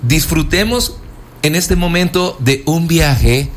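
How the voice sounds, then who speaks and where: A man's voice: fairly high pitch, slow, slight background noise. A man hosting a playful radio chat, teasing his cohost with pet names. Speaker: radio host.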